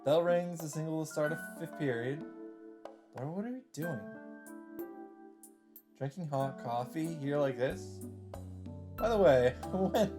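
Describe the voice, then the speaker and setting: A man hosting a let's play, chatting casually and reading game narration aloud, thinking out loud as he goes. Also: on the high side, measured pace, pauses often, music in the background.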